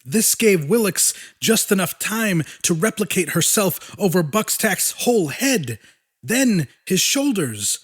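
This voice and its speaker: A man narrates a dramatic audiobook story. His voice sits high for a man and moves in animated swings, at an unhurried, deliberate pace. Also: breathy edge.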